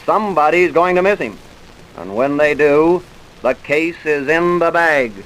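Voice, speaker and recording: A man's voice: a little high, animated, unhurried. A man playing an earnest, dogged police trooper in an old-time radio drama, speaking in a clipped, emphatic way. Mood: intent, puzzled. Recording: hissy vintage radio recording.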